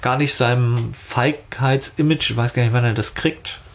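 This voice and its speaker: A man with a husky voice at a middle pitch hosts a casual discussion. He thinks aloud and wanders from point to point as he talks. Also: fairly even intonation, moderate pace. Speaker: podcast host. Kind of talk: casual chat.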